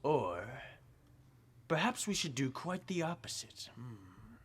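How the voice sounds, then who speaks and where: A man playing a scheming, commanding gang boss with deliberate delivery in an old-time radio crime drama. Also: a little high, very animated, a bit bright, husky, exaggerated voice. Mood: cold menace. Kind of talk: 1940s radio acting.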